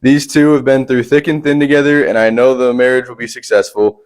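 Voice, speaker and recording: A man's voice: medium pitch, flat delivery, brisk, natural voice. Man acting a slangy reverend with a hoodlum past. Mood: ceremonial. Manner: street slang mixed with folksy storytelling. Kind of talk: amateur radio play acting.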